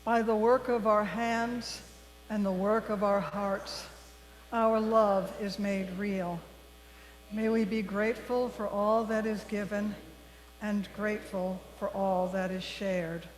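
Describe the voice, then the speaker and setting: A woman leading a worship service, reading liturgy and giving announcements to a congregation in measured, deliberate phrases. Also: mid-range voice, fairly even intonation. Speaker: worship leader. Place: large church sanctuary. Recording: long hall reverb, faint mains hum.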